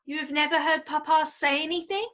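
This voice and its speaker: A woman playing a timid, gentle and earnest young younger sister in a period drama, speaking politely and hesitantly. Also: sits high, steady tone, fairly quick, lo-fi sound. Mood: gently doubting. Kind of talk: stage-style period drama dialogue.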